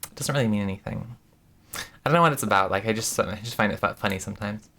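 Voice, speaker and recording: A woman's voice: very deep voice, fast, fairly bright tone. A woman streaming, talking casually and thoughtfully to her chat, with many restarts and fillers.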